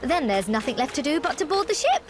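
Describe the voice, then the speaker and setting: A woman's voice: sits high, very animated, fast, music in the background. A woman voicing a chipper, businesslike female organiser in a video game cutscene. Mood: cheerful, brisk.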